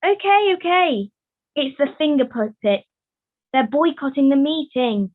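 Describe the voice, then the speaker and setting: A woman's voice: high-pitched, lively intonation, a little slow, narrow, tinny audio. A woman playing a playful, teasing gossip puppet in an online puppet show, over a thin video call. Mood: teasing, gleeful. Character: teasing, gleeful gossip puppet, relaxed about time.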